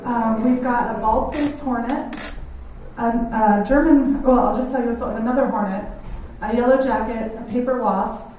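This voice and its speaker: A woman in her thirties with a quiet, slightly scratchy voice gives a friendly, chatty talk in a lecture hall, laughing often. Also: fairly high pitch, fairly even intonation, normal speed. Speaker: guest speaker on native bees. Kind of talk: community lecture. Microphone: standing mic heard from a distance. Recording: echoey hall, mic far off, faint noise.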